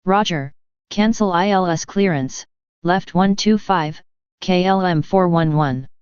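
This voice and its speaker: A woman's voice: fairly low pitch, unhurried, a little mellow, clean tone, dry recording. Woman on atc radio, really clean voice, short numbers and callsigns, dry and muffled. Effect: radio transmission. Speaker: pilot or controller on air traffic radio. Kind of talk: clipped aviation radio phraseology. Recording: dull, band-limited air traffic radio.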